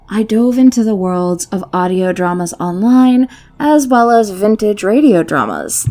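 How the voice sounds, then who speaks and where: A woman's voice: medium pitch, creaky, darkish tone. A woman hosting and narrating in a scripted, theatrical and whimsical storytelling style, with playful delivery. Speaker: YouTube host and story narrator. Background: background music.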